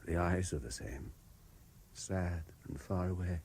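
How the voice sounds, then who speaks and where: An actor in a radio drama playing a haunted, obsessive French man, anxious and intense, with a hesitant, halting delivery. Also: sits low, creaky, darkish tone, a little hiss or hum. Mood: brooding, obsessed. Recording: 1970s radio drama broadcast.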